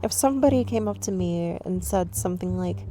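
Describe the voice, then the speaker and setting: A woman's voice: fairly low pitch, brisk, dark tone, natural voice, poor audio. A woman playing a shy, nervous young woman stranger at a cafe in an ASMR roleplay, rambling and second-guessing herself. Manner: rambling, self-conscious, overthinking.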